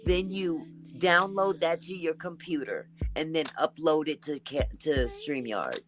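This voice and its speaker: A woman with a deep, raspy voice talking in a relaxed, chatty way as a guest in a casual conversation. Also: measured pace, music in the background. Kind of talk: casual chat.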